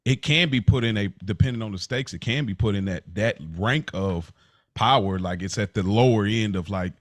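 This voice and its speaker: A man with a lowish voice, recorded very dry. He talks as a podcast co-host in loose, slangy conversation, starting and repeating phrases as he works toward his point. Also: no echo. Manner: slangy, halting, repeats himself to make a point. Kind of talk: casual chat.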